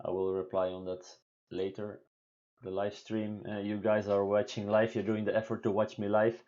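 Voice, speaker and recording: A man in his thirties from Belgium with a deep voice, answering viewer questions in halting English on a home live stream. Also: flat delivery, dark tone, dull audio. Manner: halting, many fillers and restarts, non-native English phrasing. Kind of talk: casual live Q&A talk. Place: small room indoors at home.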